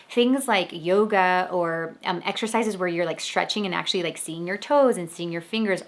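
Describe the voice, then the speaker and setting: A woman hosting her own podcast, chatting casually about spirituality. She rambles along with lots of "like" fillers. Her voice sits a little low for a woman. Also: a bit bright. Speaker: podcast host.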